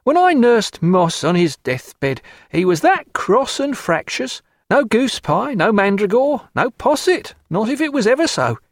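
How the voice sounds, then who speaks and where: A man's voice: sits high, sing-song, a little slow, few pauses, no room sound, studio quality, voice pushed up, heavily acted. A man voicing a fussing, motherly female landlady who insists on feeding an invalid, in a storybook reading. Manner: doting, insistent.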